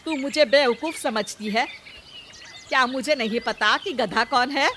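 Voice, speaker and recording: Over a noisy background with farmyard birds comes a woman acting a hot-tempered older village mother-in-law in a children's cartoon. She is brusque and scolding, stubborn to the core, and speaks slowly in a fairly high voice. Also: a bit bright. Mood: irritated. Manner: brusque, scolding, rustic dialect turns. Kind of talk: acted children's cartoon story.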